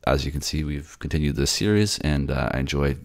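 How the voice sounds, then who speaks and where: A man with an extremely deep voice and a lot of fry, narrating and talking as a voice actor. The recording is close and dry. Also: fairly quick, no echo. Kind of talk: scripted story narration and casual sign-off.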